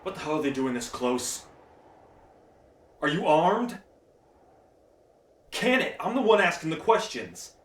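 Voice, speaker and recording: Man playing slow, echoing, suspicious apocalypse survivor. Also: on the high side, very animated. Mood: wary, commanding. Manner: curt, commanding, profane. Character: gruff, suspicious, bossy male post-apocalyptic survivor. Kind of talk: ASMR audio roleplay. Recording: echoey, roomy space.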